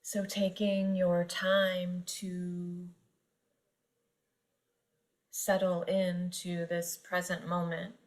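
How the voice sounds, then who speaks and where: A woman guiding a yoga nidra meditation, speaking gently and unhurriedly with long pauses between phrases. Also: on the low side, monotone. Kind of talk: guided meditation narration.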